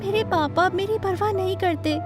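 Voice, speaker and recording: Woman putting on a very high, spoiled rich girl. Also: normal speed, music in the background. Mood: sad, confiding. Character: spoiled, dramatic, lonely young rich actress girl.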